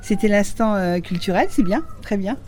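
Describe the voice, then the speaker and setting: A man's voice: sits high, moderate pace, darkish tone. A man from the Paris region answering street quiz questions, thinking aloud with hesitations. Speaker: passer-by.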